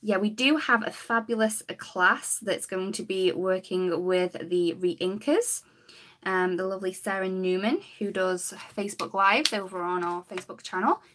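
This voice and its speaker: Slightly croaky woman demonstrating coloring. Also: medium pitch, normal speed, a bit bright. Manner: chatty, talks while working, greets viewers. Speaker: craft demonstrator hosting a live stream.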